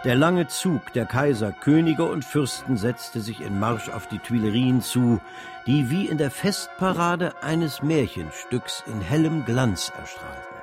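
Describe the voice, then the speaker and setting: Lively, grand male chronicler. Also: mid-range voice, measured pace, over music. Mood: grand, ironic. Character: theatrical male chronicler of imperial splendour, grandly ironic. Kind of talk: literary radio drama narration.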